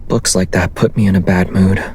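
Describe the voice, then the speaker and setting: An actor playing a calm, soft-spoken young man in a game, speaking in an unhurried, understated, intimate way. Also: sits low, a little hiss or hum, close to an everyday voice. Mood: calm, thoughtful. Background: music.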